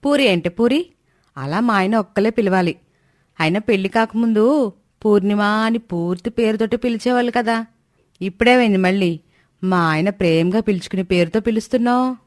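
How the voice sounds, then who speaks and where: A woman's voice: medium pitch, moderate pace, no echo, natural voice. A woman playing a devoted, affectionate yet firm young wife in a story, speaking expressively. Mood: irritated, firm. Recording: dry studio voice track.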